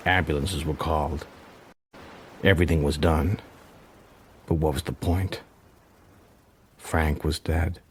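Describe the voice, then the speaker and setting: An actor playing a haunted, introspective man who narrates his dark private thoughts in an intimate first-person radio drama. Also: very deep voice, steady tone, takes it slowly, long pauses, slight background noise, full character voice. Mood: numb, grieving. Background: music.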